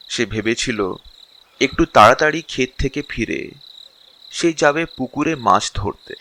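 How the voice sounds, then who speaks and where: A man's voice: medium pitch, bright, forceful tone, slight background noise. A man narrating a scripted story as its storyteller.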